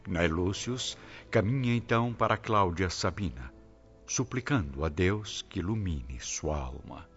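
Deep, animated male radio drama narrator. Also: unhurried. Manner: solemn. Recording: muffled, music behind.

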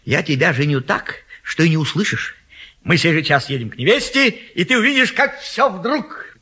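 An actor playing a pushy, meddling friend turned matchmaker in a classic stage comedy, forceful and cajoling with sudden exclamations. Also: male voice, fairly high pitch, animated, normal speed, breathy edge, heavily acted. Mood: urging. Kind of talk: classic stage comedy audio play acting.

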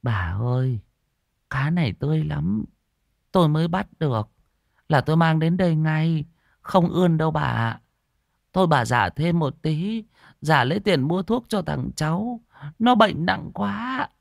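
A man's voice: fairly high pitch, lively intonation, measured pace, creaky, no room sound, clean studio sound, voice pushed up. A man voicing a poor old woman in an audiobook story, humble and imploring. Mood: pleading. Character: meek, pleading poor old woman fishmonger.

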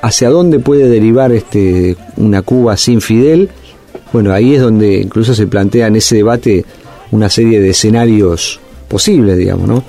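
A man's voice: fairly low pitch, normal speed, warm tone, faint music behind. A man, a guest analyst in a radio interview, talking thoughtfully with many fillers and hedges.

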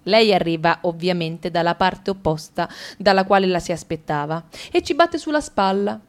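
A woman reading a comic monologue for radio theatre, ticking off lists briskly with ironic, playful emphasis. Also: on the low side, animated. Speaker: radio drama reader.

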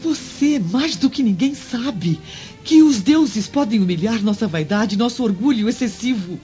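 An actress playing a reproachful, pious Roman wife, solemn and emphatic, in a radio drama. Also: female voice, fairly high pitch, animated, normal speed, warm tone, close to an everyday voice. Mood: stern, pleading. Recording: dull lo-fi radio drama, music underneath.

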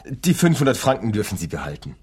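An actor playing a dutiful client in a radio play, talking in a measured, matter-of-fact way. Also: male voice, fairly low pitch, big pitch swings, a little mellow, slightly rough, heavily acted. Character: male client, dutiful protector of a womanising man.

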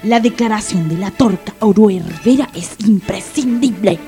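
An actress with an Argentine accent playing a stern, bossy police sergeant, speaking with deliberate authority in a comic radio drama. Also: female voice, mid-range voice, mellow, soft background music, natural voice. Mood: cold, demanding. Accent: Argentine Spanish.

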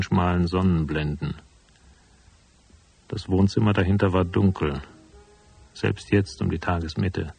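Deep, gravelly hard-boiled detective. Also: male voice, a little mellow, exaggerated voice. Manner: laconic, unsentimental, curt. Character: hard-boiled, tough, cynical male private detective. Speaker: narrator and lead character. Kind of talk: noir radio drama narration and dialogue.

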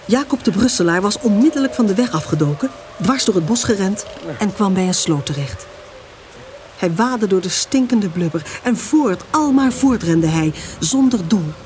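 A woman's voice: mid-range voice, lively intonation, normal speed, darkish tone, natural voice. A woman narrating a Dutch radio drama from a script. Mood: dramatic.

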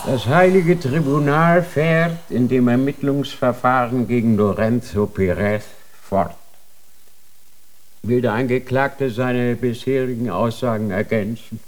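An actor playing a solemn, coldly formal judge of the Inquisition, speaking in an official, measured way in a German radio drama. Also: male voice, mid-range voice, lightly acted.

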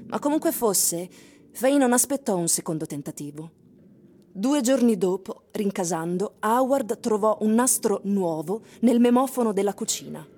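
A woman giving dramatic, literary radio narration with deliberate, suspenseful phrasing. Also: mid-range voice, animated, warm tone. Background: music.

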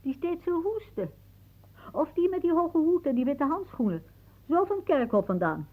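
An actress playing a whimsical, dreamy elderly aunt in a radio play, musing theatrically and drifting into hushed asides. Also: female voice, sits high, very animated, normal speed, narrow, tinny audio. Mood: playful, musing.

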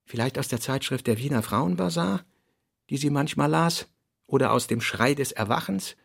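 A man's voice: mid-range voice, normal speed, vocal fry, breathy edge. A man reading literary commentary as a second narrator in a radio drama.

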